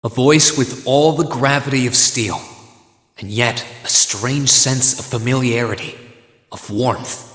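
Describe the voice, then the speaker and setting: A man's voice: mid-range voice, unhurried, fairly bright tone, natural voice. A man voicing an earnest, battle-weary but determined young hero in a video game, sounding strained and emotional. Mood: solemn, reflective. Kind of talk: dramatic video game voice acting. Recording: echoey reverberant sound with noisy background.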